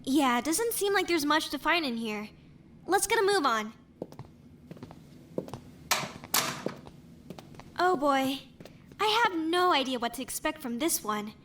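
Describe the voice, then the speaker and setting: A high-voiced young woman investigator played by a voice actress in an animated mystery, casual and tidy-minded. Her pitch moves around a lot, and there is some noise behind her. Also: moderate pace. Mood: casual, curious. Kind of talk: voice acting in an animated murder mystery.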